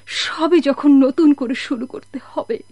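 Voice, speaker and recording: Casting: anguished mother in radio melodrama, higher voice, slow, halting, breathy delivery. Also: a little mellow, dry recording. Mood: anguished, resolving. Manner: halting, anguished, breathy pleading with repeated words. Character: sorrowful, torn mother waiting for a lost husband. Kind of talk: melodramatic radio drama acting.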